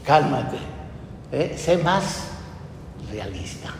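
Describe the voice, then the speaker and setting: Slow-preaching priest in an echoey church. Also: male voice, big pitch swings, gravelly. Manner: slow, earnest preaching with rhetorical questions. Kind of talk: homily after a Gospel reading. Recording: echoey church hall with low rumble.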